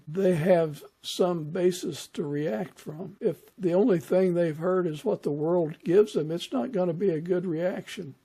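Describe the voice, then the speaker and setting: A man hosting an interview podcast, talking in a measured, conversational way with pauses mid-sentence. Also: fairly high pitch, mellow, husky. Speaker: podcast host.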